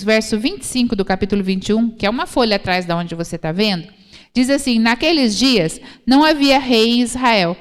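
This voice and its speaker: A woman with a Brazilian Portuguese accent preaching a church sermon, explaining scripture didactically and repeating phrases for emphasis. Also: a little high. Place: church hall, speaking to a congregation. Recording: reverberant hall sound.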